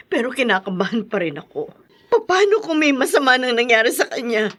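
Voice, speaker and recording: A woman's voice: fairly high pitch, big pitch swings, brisk, a bit bright. An actress playing an anxious, fretful mother in a Filipino radio drama, with hesitant, melodramatic delivery. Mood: anxious, tearful.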